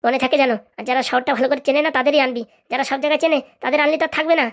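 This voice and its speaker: A woman puts on a crooked cartoon gang boss with a high voice and a torrent of very quick words. The boss is demanding, sarcastic and forever scolding, in speech. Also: fairly even intonation. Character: bossy, grumbling, crooked ringleader of a begging racket. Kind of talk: comic cartoon dialogue.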